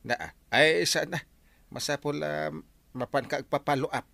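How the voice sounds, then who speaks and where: A man with an Ilocano accent and a gravelly voice, playing a village captain in a comedy drama, admonishing people with drawn-out, hesitant interjections. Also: on the high side, fairly bright tone, dry recording. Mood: coaxing. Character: village captain, mediating and admonishing comic official.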